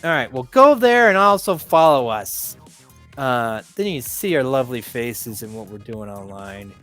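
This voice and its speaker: A man's voice: mid-range voice, very animated, moderate pace, fairly bright tone, soft background music. A man co-hosting a casual movie podcast, talking in a hesitant, rambling way and stumbling over words. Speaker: podcast co-host.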